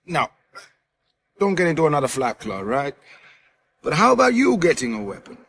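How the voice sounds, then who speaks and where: A cocky, trigger-happy robber in a radio crime drama. His voice is a little high for a man and swoops around as he needles and sneers sardonically. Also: wildly swooping pitch, normal speed, a bit bright, husky, exaggerated voice. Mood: cocky, needling.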